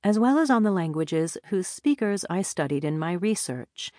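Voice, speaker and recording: A woman narrating a nonfiction audiobook in a measured, deliberate reading style on a close studio mic. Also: lowish voice, animated, warm tone, no echo.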